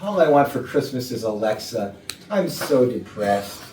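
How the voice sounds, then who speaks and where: Low-voiced, melodramatic lovelorn office guy played by a woman. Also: big pitch swings, a little slow, dark tone, exaggerated voice. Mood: mopey. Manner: melodramatic, stammering. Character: lovelorn, melodramatic, oblivious male office worker. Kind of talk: staged reading of comedy dialogue. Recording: noisy roomy rehearsal, far from mic.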